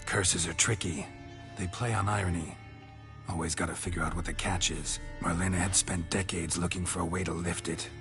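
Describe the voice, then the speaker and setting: Low-voiced, laconic monster hunter. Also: male voice, moderate pace, music in the background. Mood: grim, matter-of-fact. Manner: terse, clipped, dry deadpan. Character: laconic, world-weary male monster hunter. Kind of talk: video game character acting.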